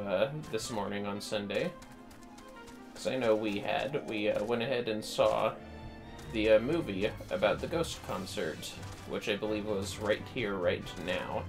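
A man's voice: on the low side, a little slow. A man livestreaming a game with casual, chatty commentary in an easygoing manner. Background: faint music.

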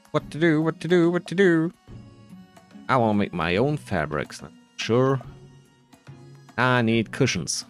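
A man doing casual playthrough commentary, musing to himself in a dry, self-deprecating way. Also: medium pitch. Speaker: gaming video creator.

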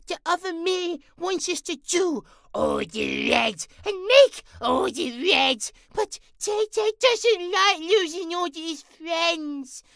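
A squeaky, childlike little imp. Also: female voice, a bit bright, no room sound, studio quality, heavily acted. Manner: stammering, babyish wording, theatrical swoops. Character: childlike, giddy, anxious imp speaking in third person. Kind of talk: horror audio roleplay.

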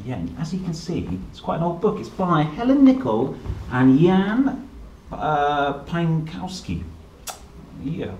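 Lively man, unhurried children's storyteller. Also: fairly high pitch, highs cut off. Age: 30s. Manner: playful, expressive, addressing young children. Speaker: storyteller, host reading children's books. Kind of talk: animated picture-book reading with chatty asides. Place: indoor room, seated at a table. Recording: roomy echo, some background noise.

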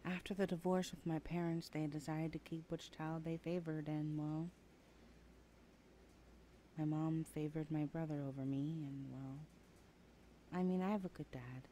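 A woman's voice: sits low, flat delivery, unhurried, pauses often, mellow, a little hiss or hum, rough recording. A woman voicing a nervous but defiant younger sibling in an audio roleplay, hesitant and flustered. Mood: quiet, worried. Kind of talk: amateur audio roleplay acting.

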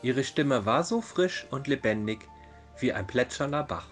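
A man's voice: mid-range voice, animated, a little slow, a bit bright. A man narrating a fairy tale as a storyteller in a dramatized reading. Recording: music behind the voice. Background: background music.

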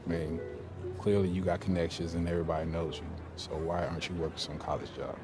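Actor as low-voiced young American hoops player. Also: male voice, level tone, fast, lightly acted. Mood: guarded, blunt. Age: young adult. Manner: casual, streetwise, direct. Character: guarded young male basketball player, proud and blunt. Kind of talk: video game cutscene acting. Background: loud music.

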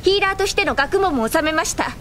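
Casting: determined royal young lady for anime drama, high voice, refined and formal. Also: animated, fast, fairly bright tone, slight background noise. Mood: resolute. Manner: formal, ladylike speech. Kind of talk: Japanese anime audio drama acting.

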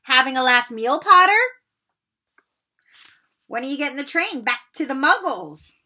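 A woman's voice: high voice, lively intonation, measured pace, pushed and bright, pitched above the performer's own voice, heavily acted. A woman voicing a cocky, taunting schoolboy bully from a storybook. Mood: sneering, challenging.

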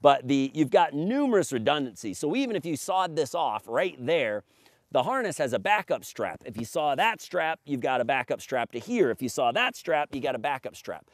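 A man's voice: fairly high pitch, very animated, moderate pace, dry recording, studio quality. A man in his forties giving an emphatic, salesman-like walkthrough of his product outdoors in a grassy field, reading points off a sheet. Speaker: paramotor maker demonstrating his gear. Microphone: camera at a few meters, standing and gesturing.

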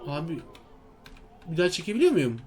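High-voiced man commentating on a video game. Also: big pitch swings, brisk, darkish tone, faint music behind. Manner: exclamatory, talks to himself and to viewers. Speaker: gaming video host.

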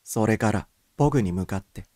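A man's voice: on the low side, expressive, moderate pace, mellow, no room sound, studio quality. A man reading scripted first-person mystery fiction as an audiobook narrator.

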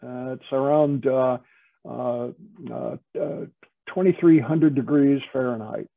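A man giving an informal, explanatory slide presentation as an instructor, halting and often repeating words. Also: medium pitch, vocal fry. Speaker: metalworking studio lead, instructor. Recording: tinny, narrow phone-like call audio.

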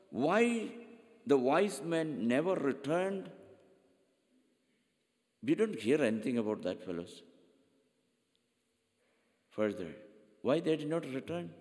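Slow-preaching priest in a reverberant church. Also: male voice, mid-range voice. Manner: slow, deliberate preaching with frequent repetitions. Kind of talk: sermon. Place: large church, at a pulpit. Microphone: pulpit microphone, far camera.

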